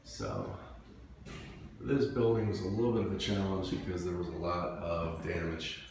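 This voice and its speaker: Low-voiced man in an echoey, noisy space. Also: animated, moderate pace, vocal fry. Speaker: security camera and intercom installer presenting a job. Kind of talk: casual walkthrough narration. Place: walking around an apartment complex building. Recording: echoey, loud ambient and vehicle noise.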